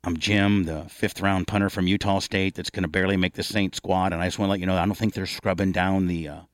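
A man's voice: deep voice, flat delivery, fast talker. A man hosting a casual sports talk show, bantering in a blunt, joking and exaggerating manner. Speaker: radio show host.

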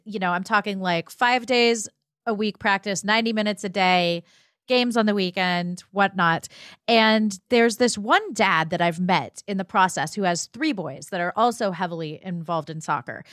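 A woman's voice: mid-range voice, fairly bright tone, no room sound, clean studio sound. A woman co-hosting a podcast, talking casually in a chatty, explanatory way. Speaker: podcast co-host. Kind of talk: casual conversational podcast talk.

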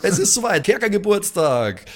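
A man's voice: high-pitched, big pitch swings, moderate pace. A man hosting a podcast in casual, slangy chat with his friends and listeners. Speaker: podcast host.

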